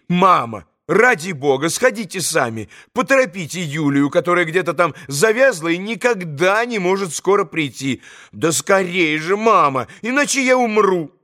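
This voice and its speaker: A man voicing a bossy, excitable young girl. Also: sits high, pitched above the performer's own voice. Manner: commanding, urgent, impatient outbursts.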